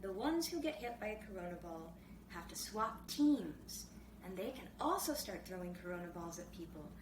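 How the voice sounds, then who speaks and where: A woman voicing a chattering, stubborn, excitable seven-year-old boy in a storybook reading. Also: on the low side, moderate pace, lightly acted. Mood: excited, insistent. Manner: jabbering, squealing, insistent. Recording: roomy, distant mic, clearly noisy background.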